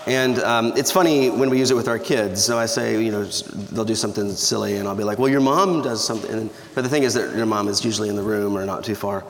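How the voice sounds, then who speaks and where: Male pastor, 30s, scratchy low voice, easygoing and wry, echoey church hall. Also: fast, hardly any pauses. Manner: conversational, humorous, with restarts. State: scratchy voice from allergies. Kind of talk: sermon. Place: church sanctuary.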